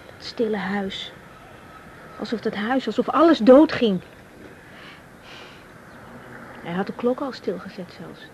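An actress playing a compassionate, troubled young woman doctor in a radio drama, speaking in a hushed, hesitant, tender manner. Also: lightly acted. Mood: distraught. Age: young adult. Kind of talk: naturalistic 1980s radio drama. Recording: dull, muffled tape with faint rumble.

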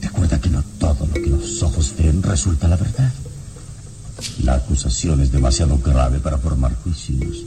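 An actor playing a calm, commanding, wise adventurer hero, speaking with measured authority in a radio serial. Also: male voice, rumbling low. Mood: grave, admonishing. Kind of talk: old radio serial acting. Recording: radio drama with music behind.

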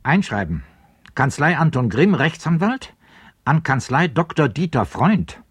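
A man with a husky voice giving scripted narration and announcements in a radio play. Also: a little high, big pitch swings, measured pace, dry recording.

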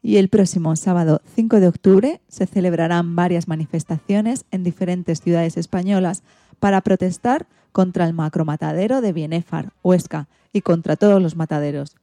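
Woman, radio presenter, lowish pitch, dark mellow tone, scripted delivery. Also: moderate pace, dry recording, faint music behind. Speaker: radio show host. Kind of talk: scripted magazine-show presenting.

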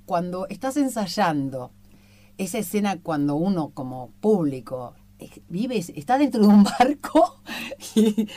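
A woman with an Argentine accent interviewing in a conversational way, building her questions in halting, thoughtful phrases. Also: mid-range voice, mellow. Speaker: radio interviewer.

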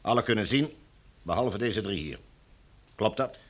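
Low-voiced, officious military commander. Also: male voice, expressive, a little slow. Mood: coolly matter-of-fact. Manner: formal, officious, bureaucratic. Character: smooth, domineering military sector commander, formal and coldly menacing. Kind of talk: radio drama acting.

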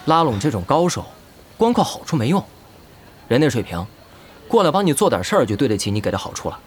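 A man's voice: medium pitch, sing-song, slight background noise, lightly acted. An actor playing a blunt, shrewd male guild member in an audio drama, opinionated and loyal. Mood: shrewd, questioning. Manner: brisk, opinionated.

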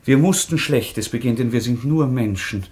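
A man's voice: mid-range voice, moderate pace, a little mellow, lightly acted. A man playing a passionate, impulsive young nobleman in a radio drama, with a lyrical, fervent, declamatory delivery. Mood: anguished, lyrical. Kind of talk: theatrical German radio drama acting.

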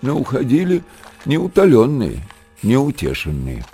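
An actor playing the storyteller of an old monastery tale in a radio drama, narrating in an expressive literary manner. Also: male voice, medium pitch, unhurried, soft background music. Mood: lyrical.

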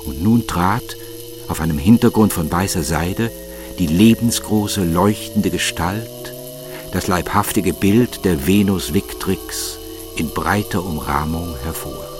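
Low-pitched male audiobook narrator over music. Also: takes it slowly. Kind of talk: scripted literary narration.

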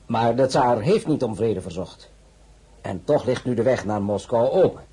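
Casting: shrewd excellency for radio play, formal, probing, dark mellow tone, very husky. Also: male voice, mid-range voice, animated, normal speed. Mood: probing, sly. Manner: formal, pointed. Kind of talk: period radio drama acting.

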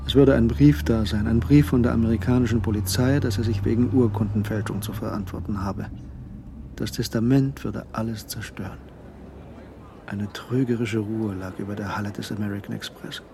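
A man narrating a radio drama in a measured, suspenseful, scripted delivery. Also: fairly low pitch, fairly even intonation, vocal fry, noisy background.

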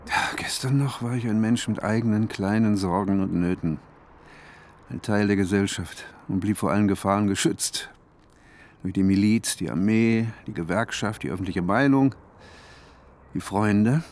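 A man's voice: lowish voice, animated, measured pace. A man playing a frightened, brooding astronomer with a sarcastic edge in a radio drama, speaking in an agitated, questioning way.

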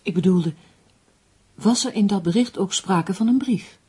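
An actress playing an earnest, worried crime novelist in a Dutch radio play, speaking seriously and insistently. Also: female voice, medium pitch, a little slow, warm tone, breathy edge. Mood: uneasy.

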